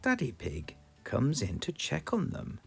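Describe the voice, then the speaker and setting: A man with a British accent reading a children's storybook aloud in a slow, expressive, sing-song way. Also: mid-range voice, vocal fry, faint music behind. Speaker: storybook reader.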